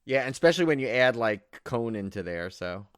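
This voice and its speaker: A man's voice: medium pitch, expressive, brisk, smooth, dry recording. Dry-sounding male podcast co-host. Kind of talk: casual podcast chat.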